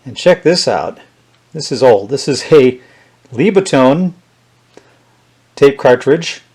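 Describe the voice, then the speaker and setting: A man's voice: medium pitch, expressive, takes it slowly, a little mellow, breathy edge. A man giving a casual, unscripted hands-on demonstration, thinking aloud as he tests things. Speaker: vintage electronics reviewer.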